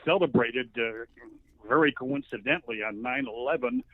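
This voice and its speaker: A man in his sixties with an American accent, speaking haltingly as a radio announcer guest over a tinny phone line. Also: mid-range voice, big pitch swings, gravelly. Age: 60s. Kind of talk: conversational interview. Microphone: telephone.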